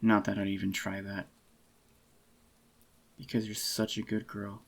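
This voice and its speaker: A man doing a soft-spoken, intimate ASMR roleplay as an adoring, possessive boyfriend, in a slightly groggy, unhurried murmur. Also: on the low side, completely flat delivery, vocal fry, husky. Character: adoring possessive young boyfriend, tender and clingy. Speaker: ASMR voice actor.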